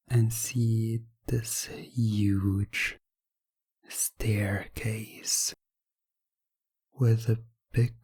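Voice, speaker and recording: A man narrating a hypnotic ASMR story in a breathy, often whispery voice, with deliberate, repetitive phrasing. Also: medium pitch, mellow, dry recording. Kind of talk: slow hypnotic ASMR storytelling, second person.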